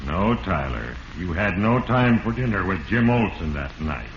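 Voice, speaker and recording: An actor playing the stern, sinister voice of Fate, narrating gravely and portentously as an accuser in 1940s radio style. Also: male voice, deep voice, sing-song, normal speed, muffled recording. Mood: grave accusing. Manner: grave, portentous, addresses the culprit directly. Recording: echoey, noisy old radio recording.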